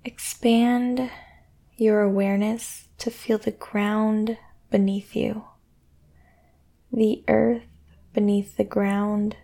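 A woman guiding a sleep meditation in a soothing, gentle way, speaking deliberately with long pauses. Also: medium pitch, little pitch movement, gravelly. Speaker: meditation guide and podcast host. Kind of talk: guided sleep meditation, yoga nidra.

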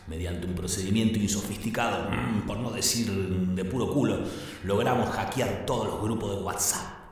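A man with an Argentine accent acting as a deadpan narrator in a scripted comedy. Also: fairly low pitch, lightly acted. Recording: heavy echo and long reverb.

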